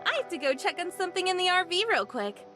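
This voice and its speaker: A man's voice: extremely high pitch, big pitch swings, fast, bright, forceful tone, soft background music, pitched above the performer's own voice, exaggerated voice. A man voicing a young woman who feigns sweet, mock-innocent politeness toward a stranger. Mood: falsely cheerful.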